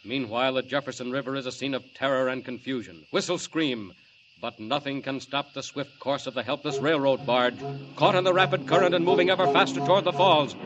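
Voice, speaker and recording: An actor playing a dramatic announcer, declaiming narration for a 1940s radio superhero serial. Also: male voice, medium pitch, a little fast, noisy background, lightly acted. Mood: dramatic, grave. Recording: muffled old radio recording.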